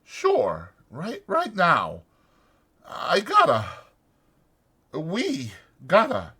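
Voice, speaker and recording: A man voicing a weary, protective ranch hand who talks in a halting, soothing, anguished way in a dramatized audiobook. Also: high voice, huge pitch swings, bright, forceful tone, slightly rough, voice pushed up, exaggerated voice. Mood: tender, halting.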